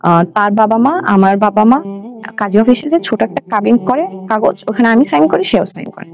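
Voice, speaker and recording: A woman's voice: a little high, expressive, normal speed, a little mellow. A young adult woman with a Bangladeshi accent, a guest recounting her own life in a conversational way. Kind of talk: conversational personal storytelling.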